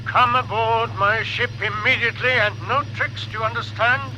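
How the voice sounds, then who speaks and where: An actor playing a domineering villain spaceship commander in 1950s radio drama, curt and imperious over an intercom. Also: male voice, very high-pitched, steady tone, moderate pace, heavily acted. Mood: cold, commanding. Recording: noisy old radio broadcast with loud hum.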